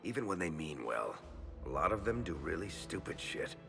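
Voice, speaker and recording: A man playing a big, blunt mercenary in a video game, with a gravelly voice and crude plain talk. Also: deep voice, big pitch swings, moderate pace, heavily acted. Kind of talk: video game cutscene acting. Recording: clear music behind.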